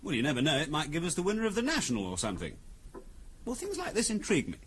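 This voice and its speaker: An actor playing a keen, persuasive middle-class English husband who loves antiques, in 1970s radio drama style. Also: male voice, on the high side, wildly swooping pitch, very fast. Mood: eager, cajoling. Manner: eager, wry, persuasive. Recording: noisy old radio drama recording.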